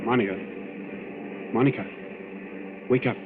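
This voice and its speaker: A man's voice: mid-range voice, steady tone, a little slow, natural voice. A man playing a resolute, level-headed naval commander in danger, in 1940s radio drama style. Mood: hushed, urgent. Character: resolute, calm male naval commander in peril. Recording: muffled, noisy old radio recording.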